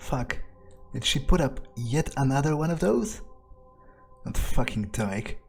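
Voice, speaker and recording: A man's voice: mid-range voice, expressive, a little slow, creaky, breathy edge, faint music behind, heavily acted. A man playing a cynical, menacing vampire who muses aloud in a brooding, profane way, as in-character game roleplay. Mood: irritated. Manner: brooding, hesitant, profane musing. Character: cynical, menacing male vampire talking through his thoughts. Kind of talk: in-character gameplay roleplay monologue.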